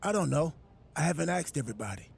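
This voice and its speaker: Goofy, high-pitched, very gravelly wrestler boss. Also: male voice, expressive, fast, exaggerated voice. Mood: pitching, upbeat. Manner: earnest, absurdly literal, rambling. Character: goofy, scatterbrained, self-important male wrestler playing boss. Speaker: wrestler acting as general manager. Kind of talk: video game cutscene acting.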